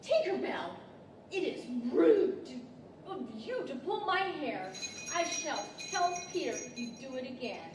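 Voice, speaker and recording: A woman plays an earnest, motherly little girl in a live radio play. Her voice is high and swings widely as she explains things like a storyteller. It is picked up at a distance in a reverberant hall. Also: very animated, a little slow. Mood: huffy. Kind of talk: 1940s radio-theater acting. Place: large library hall, live stage performance. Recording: echoey hall, distant mic, background noise.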